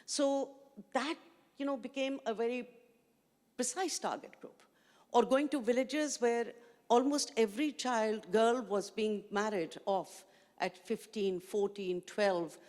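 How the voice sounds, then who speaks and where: A woman's voice: on the high side, unhurried, darkish tone. A middle-aged woman from Pakistan whose first language is Urdu, speaking as a panelist in a panel discussion with reflective storytelling. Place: large conference hall stage. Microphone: handheld mic. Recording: long hall reverb.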